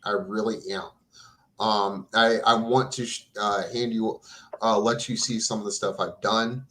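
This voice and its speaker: A man's voice: fairly low pitch, level tone, a little slow, sharp tone. An earnest man in his forties hosting a casual chat, stammering and restarting his sentences. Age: 40s.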